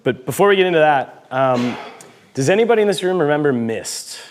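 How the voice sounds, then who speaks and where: A man's voice: fairly high pitch, animated, a little fast, slight background noise. A man in his thirties giving a casual, self-deprecating conference talk, with frequent fillers and light laughs. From United States. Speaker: conference speaker, head of marketing.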